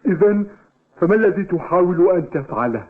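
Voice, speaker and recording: An actor playing an eager, good-natured young football player in a cartoon dub. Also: male voice, high-pitched, lively intonation, a little fast. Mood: questioning. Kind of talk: Arabic cartoon dub acting. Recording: tinny, faintly noisy TV dub.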